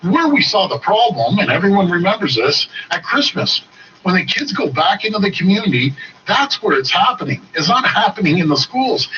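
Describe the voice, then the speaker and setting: A man's voice: sits high, sing-song, moderate pace, bright, forceful tone. A man making an emphatic public statement in a radio clip. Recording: dull, distant, slightly noisy radio clip.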